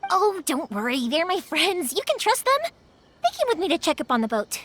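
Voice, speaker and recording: A woman playing a cheerful young fireworks maker in a game, talking in a bubbly, expressive way. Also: very high voice, moderate pace, slight background noise, exaggerated voice. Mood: friendly. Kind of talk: video game voice acting. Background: game music.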